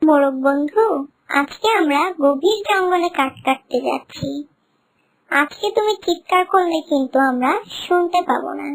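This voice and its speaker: A woman's voice: high-pitched, animated, normal speed, poor audio. A woman voicing a small, caring starling, an earnest and cautioning friend in a children's cartoon.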